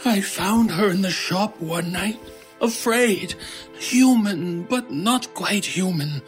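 A man acting in an audio horror drama as a grieving, protective shopkeeper, with a husky voice and an emotional, reproachful manner. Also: high voice, takes it slowly. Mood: shocked, grieving. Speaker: voice actor. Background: music.

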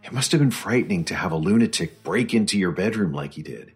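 Patient, hesitant male psychologist for radio play: low-leaning, heavily rasping voice, soothing questioner, soft music underneath. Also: lively intonation, fast, exaggerated voice. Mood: sympathetic. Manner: placating, hesitant. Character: earnest, patient male government psychologist interviewer. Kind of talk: audio drama acting.

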